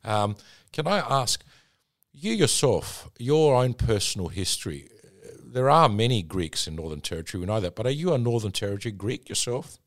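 A man's voice: medium pitch, lively intonation, normal speed. A man hosting a podcast interview, chatty and fond of asking leading questions. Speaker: podcast host.

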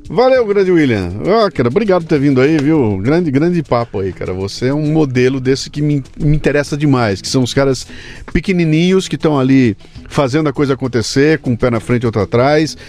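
A man's voice: medium pitch, animated, fairly quick, a little mellow, faint music behind. A Brazilian man hosting a podcast interview, talking informally and emphatically with lots of slang. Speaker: podcast host. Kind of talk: casual interview chat.

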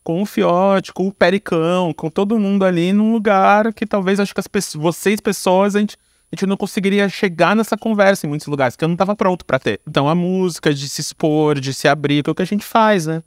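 A Brazilian man with a fairly high voice speaks in a loose, informal interview style. He reflects aloud in slangy, conversational phrases. The recording is close and dry, with no room sound. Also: a little fast, no echo. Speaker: podcast guest, content creator and director. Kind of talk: casual reflective interview talk.

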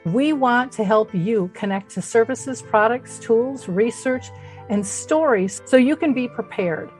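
Higher-pitched woman, podcast promo. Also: unhurried, darkish tone, soft background music. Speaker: podcast host giving a promo. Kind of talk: personal promotional appeal.

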